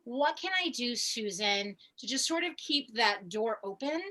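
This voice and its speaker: A woman chatting casually on a video call as host, with an effusive, excitable and gushing way of talking. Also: a little high, measured pace. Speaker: host of a tarot gathering.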